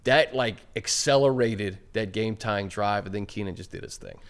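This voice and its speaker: A man's voice: lowish voice, normal speed. A man hosting a casual sports podcast, conversational, recounting things in short clipped phrases. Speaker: podcast host.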